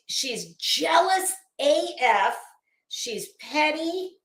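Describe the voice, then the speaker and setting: A woman with a high voice hosts a casual livestream, speaking at an unhurried pace with halting, emphatic repeats. She is blunt, mocking and freely profane as she talks to her viewers. The sound is noticeably roomy and echoey. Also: a bit bright. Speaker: livestream host.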